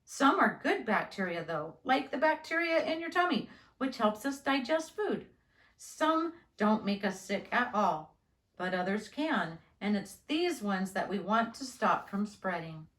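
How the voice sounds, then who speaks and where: A woman voicing a calm, reassuring father who patiently explains things to his child in a storybook. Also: mid-range voice, a little slow. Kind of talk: children's storybook read-aloud. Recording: roomy, echoing indoor sound.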